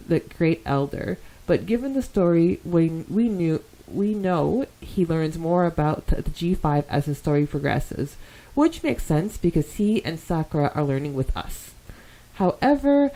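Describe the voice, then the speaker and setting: A woman co-hosting a podcast, chatting casually and enthusiastically as she reads out and reacts to listener comments, quick to giggle. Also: fairly low pitch, dark tone, poor audio. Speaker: podcast co-host. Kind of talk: casual podcast talk, reading and discussing fan comments.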